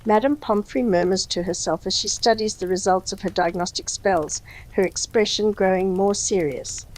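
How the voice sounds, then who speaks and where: A woman's voice: medium pitch, normal speed, darkish tone, low-quality recording. A woman reading a scripted story as its narrator.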